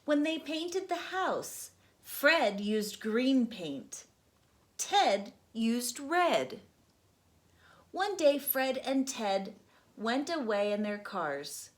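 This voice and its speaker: A young adult woman, host of a storytime channel, reading a children's book aloud slowly and gently. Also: a little high, sing-song. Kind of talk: children's read-aloud narration.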